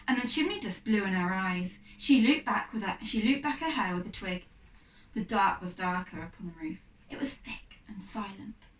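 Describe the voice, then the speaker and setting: Woman, teacher reading a storybook, expressive deliberate phrasing, slightly high voice, distant microphone. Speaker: primary school teacher reading aloud. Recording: echoey room, distant microphone.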